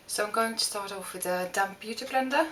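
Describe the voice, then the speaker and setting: A young woman from Norway with a Norwegian accent, talking through a makeup tutorial with many pauses and restarts. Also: mid-range voice, fairly bright tone, slight background noise. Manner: hesitant, many fillers and restarts. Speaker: beauty vlogger. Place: home room.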